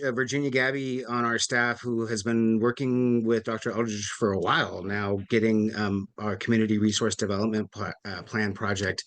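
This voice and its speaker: A man's voice: medium pitch, fairly bright tone, dry recording. A man hosting a community meeting, introducing a speaker in a casual, appreciative way with frequent fillers. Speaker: meeting host.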